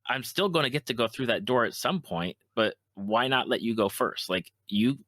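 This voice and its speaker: A man hosting a casual interview podcast, speaking earnestly and haltingly, often repeating his words. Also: mid-range voice, lively intonation, a bit bright, dry recording, studio quality.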